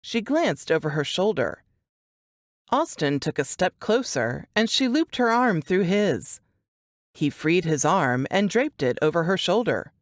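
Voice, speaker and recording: Female audiobook narrator, deep register, expressive range, very dry studio sound. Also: measured pace, dry recording. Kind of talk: scripted fiction narration.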